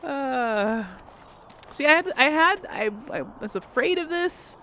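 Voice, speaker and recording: A woman's voice: high voice, animated, slow pace, sharp tone, a little hiss or hum. A woman hosting casual video game commentary, thinking aloud as she plays. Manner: thinks aloud while playing. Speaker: gaming host.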